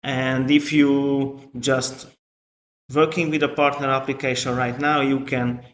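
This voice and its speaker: Male webinar trainer, mid-pitched and unhurried, halting delivery, echoey sound with faint background noise. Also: fairly bright tone. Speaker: webinar presenter, product trainer. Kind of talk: live online product training presentation.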